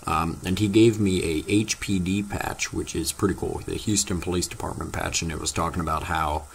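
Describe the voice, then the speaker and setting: A teenage boy, slightly hoarse from losing his voice, chatting casually to his viewers in a rambling, halting way full of fillers. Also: low voice, fairly even intonation, slight background noise. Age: teens. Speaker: vlogger. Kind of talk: casual vlog chat to viewers.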